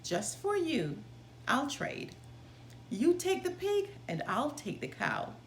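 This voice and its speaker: A woman's voice: high voice, sing-song, slow pace, pitched above the performer's own voice, exaggerated voice. A woman voicing an obliging but shrewd man striking bargains in a storybook read-aloud. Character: passing pig owner, obliging, shrewd male trader. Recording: roomy, with clear background noise.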